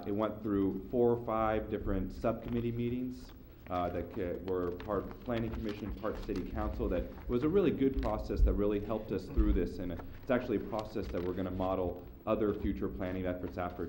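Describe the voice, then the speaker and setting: A man's voice: low voice, darkish tone, slight background noise. A man on city staff introducing an item at a public meeting; he is losing his voice from a cold and stumbles over repeated words. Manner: halting, repeats words, upbeat. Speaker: city planning staff member.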